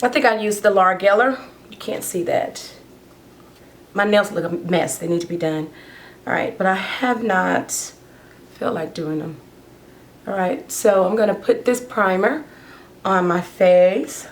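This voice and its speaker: Chatty hoarse woman doing her makeup. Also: mid-range voice, unhurried, long pauses, a little hiss or hum. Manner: chatty, rambling, talks while doing makeup. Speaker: beauty vlogger. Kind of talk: casual get-ready-with-me chat.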